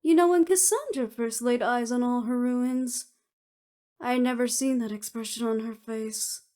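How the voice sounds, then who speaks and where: A woman's voice: a little high, normal speed, mellow, natural voice. Woman playing a teasing, sardonic estranged friend. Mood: wry, musing. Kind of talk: audio roleplay acting.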